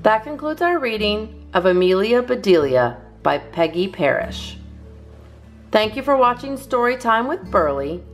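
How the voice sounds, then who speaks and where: A woman narrating a children's storybook as a storytime host, in an expressive read-aloud style, over music. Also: mid-range voice, measured pace. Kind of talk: animated children's storybook reading. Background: soft plucked guitar music.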